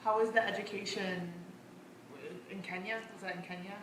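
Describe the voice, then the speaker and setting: Curious woman with a flat voice. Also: mid-range voice, moderate pace, a crowd in the background. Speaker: audience member asking questions. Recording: echoey room with noise.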